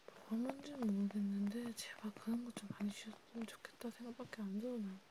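A woman whispering in a breathy, hushed, intimate way, chatting casually as in an ASMR roleplay. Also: a little high, fast talker. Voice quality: breathy, mostly unvoiced whisper. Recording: steady background noise.

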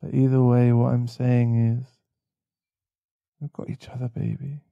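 A man playing a caring, protective boyfriend in an intimate ASMR roleplay, speaking softly and soothingly with halting pauses. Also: medium pitch, flat delivery, vocal fry, dry recording, lo-fi sound. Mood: reassuring, loving. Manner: soft, soothing, halting, sighing. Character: caring, protective, shaken boyfriend comforting a partner. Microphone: close mic.

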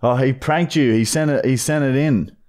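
A man's voice: mid-range voice, normal speed, a little mellow. An Australian man hosting a comedy podcast, improvising casually on a call. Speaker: prank call podcast host. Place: small podcast studio. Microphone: close studio boom mic with headphones.

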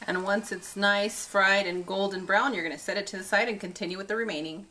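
A woman in her thirties hosting a casual cooking demonstration in a bright home kitchen, chatty and upbeat, laughing often. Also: medium pitch, fairly even intonation, brisk, a little hiss or hum, rough recording. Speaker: cooking show host.

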